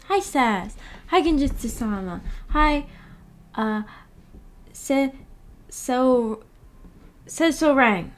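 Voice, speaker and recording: Woman streamer, slightly high voice. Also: lively intonation, extremely slow, pauses often. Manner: giggly, hesitant, repeats words. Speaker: streamer hosting a book club discussion. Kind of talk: casual livestream chat, reading and answering chat.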